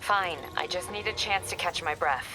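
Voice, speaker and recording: A woman's voice: fairly low pitch, normal speed, over music, natural voice, rough recording. A woman playing a weary, determined police captain in a dramatic video game, speaking in a terse, guarded way.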